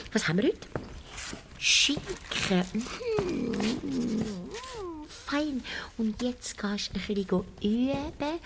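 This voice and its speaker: A woman's voice: on the high side, gravelly, background noise, full character voice. A woman with a Swiss German accent playing a cheeky, gluttonous, indignant church mouse in a children's radio play, exclaiming theatrically. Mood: greedy, conspiratorial. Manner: exclamatory, theatrical, piling up colourful words. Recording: long reverb of a big hall.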